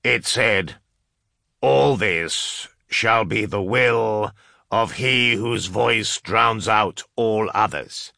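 Male reader as solemn male translator, sci-fi audiobook. Halting, precise, very slow, bright. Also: sits low, lively intonation, gravelly, no room sound, studio quality, full character voice. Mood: patient, explaining. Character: grave, careful male scholar translating cryptic chants.

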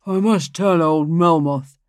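A woman voicing a scheming middle-aged male lawyer with a smooth, insinuating manner. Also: low voice, slow, a little mellow, dry recording, clean studio sound.

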